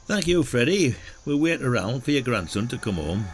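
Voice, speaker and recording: A man's voice: mid-range voice, sing-song, normal speed, a little hiss or hum, natural voice. A man playing an older retired detective in an audio drama, a frank, coaxing village sleuth. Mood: genial, curious.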